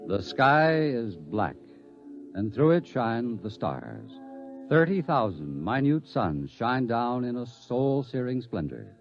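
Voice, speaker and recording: A man narrates a radio drama in a solemn, ominous manner. His mid-range voice rises and falls widely. Faint music sits behind the slightly muffled recording. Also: mid-range voice.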